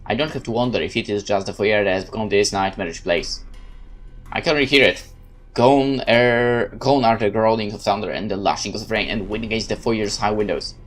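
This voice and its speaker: Low-voiced man reading a horror novel. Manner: measured, theatrical narration. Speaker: game let's-play narrator. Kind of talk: dramatic first-person reading of a visual novel.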